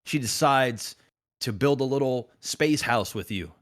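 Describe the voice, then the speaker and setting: A man's voice: mid-range voice, a little slow, a bit bright. A man co-hosting a gaming podcast, telling a long joking story in a rambling, casual way. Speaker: podcast co-host.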